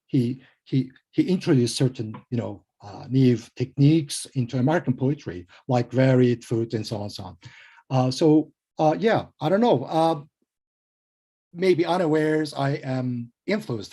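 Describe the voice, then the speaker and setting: Turkish-accented male translator on a panel; mellow, halting, modest delivery. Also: mid-range voice, expressive, slightly rough. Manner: hesitant, many fillers and restarts, self-deprecating. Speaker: translator and poet guest. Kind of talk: conversational panel interview.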